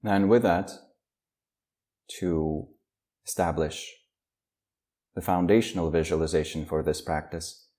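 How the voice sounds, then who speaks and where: A man leading a guided meditation, speaking slowly and calmly with long pauses between phrases. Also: sits low. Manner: slow, calm, with long pauses. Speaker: meditation teacher.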